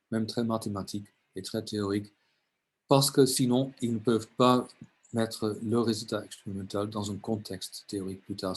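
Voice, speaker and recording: Husky non-native man answering questions. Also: on the low side. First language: neither French nor Italian. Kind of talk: Q&A in online info session. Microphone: video call.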